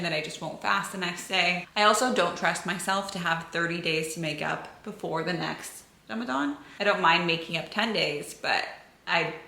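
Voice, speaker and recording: A woman's voice: lowish voice, vocal fry, pushed and bright. A young American woman chatting casually to camera, answering viewer questions in an open, filler-heavy way. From United States. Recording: echoey room.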